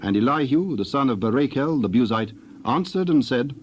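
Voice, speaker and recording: A man narrating dramatized scripture in a measured, formal manner. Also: medium pitch, expressive, slight background noise.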